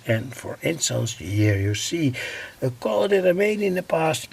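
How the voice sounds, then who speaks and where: Man, slow halting delivery, gravelly low fry, hobbyist demonstration, faint hiss behind. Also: a little mellow. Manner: slow and halting, many "uh" pauses. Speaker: radio hobbyist presenting his own channel. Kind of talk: unscripted hands-on demonstration.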